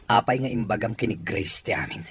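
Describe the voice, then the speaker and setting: A man's voice: lowish voice, animated, a little fast, fairly bright tone, slight background noise. A man with an Ilocano accent voicing an evasive cheating husband who pleads with his wife in a radio drama. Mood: pleading.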